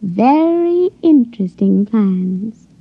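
A woman's voice: fairly high pitch, big pitch swings, slow pace, vocal fry, husky, full character voice. An actress playing a naive, lovestruck young country woman who reads minds, with a folksy rural American accent, in old-time radio drama style. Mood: sly, affectionate. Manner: folksy, plain-spoken country speech. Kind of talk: 1940s-50s American radio drama acting. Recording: dull old radio recording.

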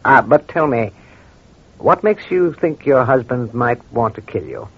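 An actor playing a courteous, probing crime doctor in an old-time radio drama, speaking in a measured, formal, reassuring way. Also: male voice, medium pitch, big pitch swings, slightly rough, full character voice. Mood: calm, concerned. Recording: muffled vintage radio recording.

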